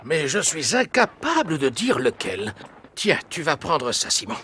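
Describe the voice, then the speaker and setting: High-pitched, flustered old Santa Claus. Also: male voice, sing-song, a little slow, bright, forceful tone, a little hiss or hum, full character voice. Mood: gentle, musing. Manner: hesitant, exclamatory, avuncular. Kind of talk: animated cartoon dub acting.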